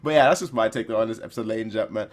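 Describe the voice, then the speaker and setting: A man's voice: on the low side, rapid-fire. A man giving casual reaction commentary in a rambling way, often repeating phrases for emphasis. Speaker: reaction video host.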